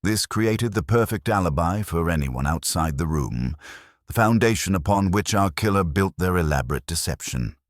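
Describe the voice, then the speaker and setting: A man voicing a fastidious, supremely certain middle-aged detective, delivering measured and grand pronouncements in a very deep voice. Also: expressive, no room sound, studio quality. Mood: assured.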